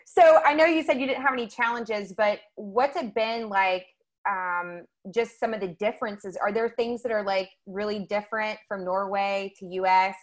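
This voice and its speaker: A woman's voice: mid-range voice, fairly even intonation, normal speed. Upbeat woman hosting a podcast. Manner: upbeat and enthusiastic. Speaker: podcast host. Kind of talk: casual interview hosting.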